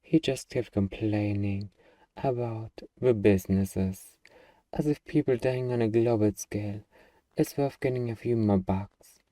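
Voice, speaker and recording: A man playing a gruff, defensive young zombie-apocalypse survivor with anxiety underneath, in an ASMR roleplay. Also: fairly low pitch, measured pace, dark tone, no room sound, distant mic, natural voice, rough recording. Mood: anxious, hesitant.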